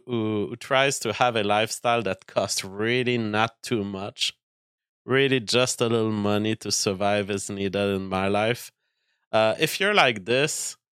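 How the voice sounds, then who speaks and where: Guy on a stream, voice kinda weak tonight, keeps restarting sentences, bright clear tone. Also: mid-range voice, dry recording, studio quality. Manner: halting, many restarts and fillers. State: weak, tired voice. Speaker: livestream host reading viewer chat. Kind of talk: casual livestream monologue.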